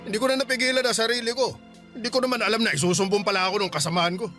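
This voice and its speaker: A man's voice: high voice, steady tone, very fast, pushed and bright, faint music behind. An actor playing a hot-tempered, self-pitying and bullying Filipino father in a Tagalog radio drama. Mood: defensive, bitter.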